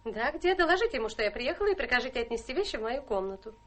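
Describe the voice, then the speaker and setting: An actress playing a young, haughty, ambitious governess in a radio play, with a crisp, theatrical delivery. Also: female voice, fairly high pitch, big pitch swings, very fast. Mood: indignant. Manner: imperious, crisp, turning sweetly polite.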